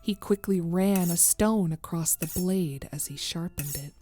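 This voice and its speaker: A woman's voice: lowish voice, a little slow, mellow, soft background music. A woman narrating a scripted horror story as its storyteller.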